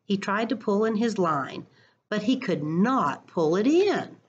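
A middle-aged woman reading a story aloud to children in a deliberate, expressive way, recorded on a computer at home. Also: medium pitch, husky, lo-fi sound. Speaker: school educator reading to children. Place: living room at home. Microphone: computer webcam at arm's length.

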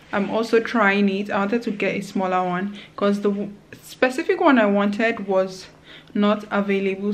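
Young female vlogger, casual and chatty, handheld camera mic, faint room noise. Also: mid-range voice. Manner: chatty, laughs often, talks straight to viewers. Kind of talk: casual vlog chat to camera. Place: apartment indoors, later outdoors in a city. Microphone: handheld camera at arm's length.

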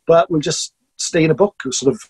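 A man's voice: fairly high pitch, fairly even intonation, moderate pace, darkish tone, breathy edge, no echo. A middle-aged Welsh man chatting conversationally as an interview guest, often ending on tag questions. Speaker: podcast guest, performance storyteller. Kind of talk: casual interview chat.

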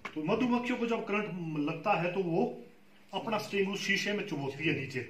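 Man, patient trainer explaining to farmers; slightly high voice, distant and roomy with faint background noise. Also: normal speed, a bit bright. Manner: patient, explanatory, often checks with "ठीक है जी". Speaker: beekeeping trainer. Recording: echoey room, far from the mic.

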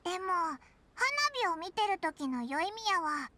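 A woman voicing a small, childlike fairy companion in an anime-style game, cheeky and curious, with a squeaky voice and blunt, exclamatory delivery. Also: expressive, normal speed, sharp tone, a little hiss or hum, exaggerated voice. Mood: curious, impressed.

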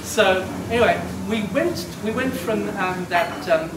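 A man's voice: high-pitched, unhurried. A man in his fifties from Argentina, whose was Spanish, telling a rambling story at a memorial. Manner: rambling, animated, gestures broadly with both hands. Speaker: eulogist. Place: glass-walled room by a beach. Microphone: far camera. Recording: roomy and distant with noise.

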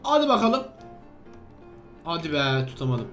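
A man's voice: a little high, big pitch swings, a little slow, a bit bright, faint music behind. A man hosting a gaming video, giving exclamatory, bantering commentary to a co-player and often repeating instructions. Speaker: gaming video host playing a character named Steve. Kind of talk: excited game commentary with a co-player.

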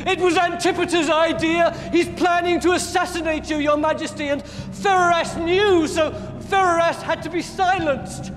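A man's voice: fairly high pitch, normal speed, few pauses, big-room sound, faint music behind, close to an everyday voice. A man voicing a deferential, nervous male butler who pleads and informs in a storybook tale. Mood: screaming terror.

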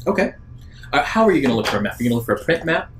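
A man's voice: medium pitch, sing-song, racing, a bit bright. A man acting as game master, improvising narration and fielding players' questions at a tabletop game. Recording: noisy room ambience.

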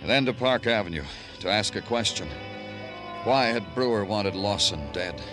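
Man playing a low, world-weary radio detective. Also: lively intonation, moderate pace, over music, natural voice. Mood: brooding. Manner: terse in talk, moody poetic narration. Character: world-weary, brooding male New York police detective. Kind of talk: 1940s-50s radio noir acting.

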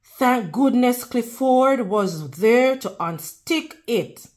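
A woman with a fairly high voice reads a children's picture book aloud very slowly. She is teacherly, speaking directly to young listeners and prompting them with questions as she goes. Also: expressive, darkish tone. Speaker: read-aloud host.

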